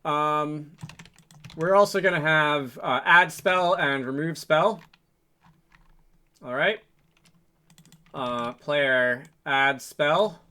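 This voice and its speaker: A man's voice: on the high side, very slow, long pauses, sharp tone, clear, resonant tone. A man giving a casual, unscripted programming tutorial, thinking aloud in a hesitant, rambling, self-correcting way. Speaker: programming video host, game developer. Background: computer keyboard typing and mouse clicks.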